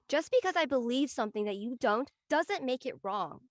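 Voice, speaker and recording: A woman playing an earnest, defensive high school girl in a casual script reading. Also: lively intonation, moderate pace.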